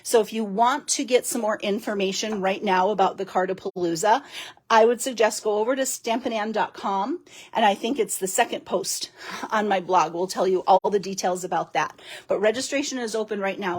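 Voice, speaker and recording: Chatty, giggly woman with a raspy voice. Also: mid-range voice, fairly quick. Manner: chatty, giggly, rambling with fillers. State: hoarse. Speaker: card-making demonstrator. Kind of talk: casual live craft demo.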